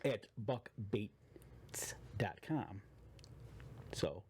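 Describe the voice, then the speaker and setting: A man's voice: lowish voice, animated, very slow, darkish tone, whispered. A man co-hosting a casual podcast, chatting in an easygoing way and plugging sponsor codes. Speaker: podcast co-host. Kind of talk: casual live podcast chat. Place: cabin.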